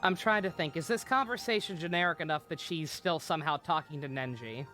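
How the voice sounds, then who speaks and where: A man's voice: fairly high pitch, fairly quick, pushed and bright, faint music behind. A man doing casual live game commentary, rambling and swearing as he thinks aloud. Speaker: video game streamer.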